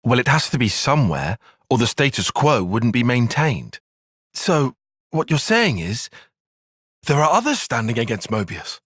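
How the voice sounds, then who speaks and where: A man's voice: mid-range voice, big pitch swings, normal speed, a bit bright, slightly rough, no room sound, clean studio sound. A man with a British accent voicing an earnest, cautious young soldier-strategist in a video game, speaking in a precise, formal, articulate way. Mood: measured, analytical. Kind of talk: video game cutscene voice acting.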